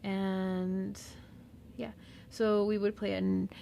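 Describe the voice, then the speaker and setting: A woman with a Southern California accent whose first language is Spanish, talking unscripted and reflectively in a slow, pausing monologue as a podcast host. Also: mid-range voice, flat delivery, creaky, mellow, slight background noise. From Mexican-American. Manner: slow, reflective, with pauses and fillers.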